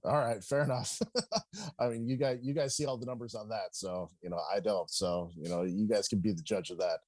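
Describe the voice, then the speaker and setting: A man's voice: on the low side, fairly even intonation, a little fast, darkish tone, no echo. A middle-aged man chatting casually as a podcast guest, bantering and peppering his talk with "you know". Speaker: guest, baseball public address announcer.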